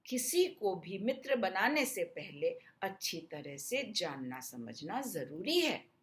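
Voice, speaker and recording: A woman's voice: on the low side, sing-song, takes it slowly. A woman narrating a scripted children's story in an explanatory way meant for young listeners.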